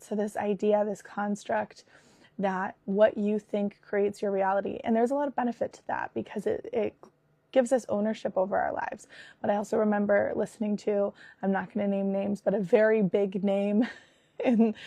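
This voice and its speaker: A woman in her thirties with a cold, hosting her podcast with an earnest, animated manner and a hoarse, raspy voice. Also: mid-range voice, no room sound. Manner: earnest, animated, with frequent fillers and restarts. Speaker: podcast host and spiritual business coach. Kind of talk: casual spoken monologue.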